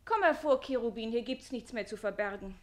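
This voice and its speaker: An actress playing a spirited, sharp-tongued young lady's maid in a German radio play, pert and quick-witted. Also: fairly high pitch, lightly acted. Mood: pleading, earnest.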